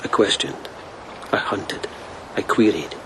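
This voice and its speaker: Radio drama: devoted lieutenant of old Japan, lowish voice, soft and measured, noticeable ambience behind. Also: male voice, expressive, noisy background. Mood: sombre storytelling. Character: gentle, wry, devoted police lieutenant of ancient Japan. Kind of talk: intimate radio drama acting.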